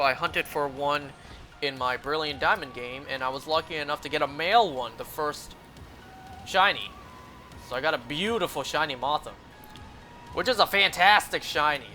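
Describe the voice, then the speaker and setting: A young man with a North American accent streams a game and chats off the cuff. His voice is fairly high and bright with an edge to it. Game music plays behind his rambling, casual talk. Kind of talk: casual chat while playing a video game live.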